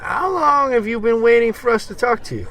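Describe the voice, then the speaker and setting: A man playing a scrappy, smooth-talking wiseguy pal in slangy, wisecracking 1940s radio comedy style. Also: very high-pitched, expressive, a little fast, sharp tone, exaggerated voice. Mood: indignant.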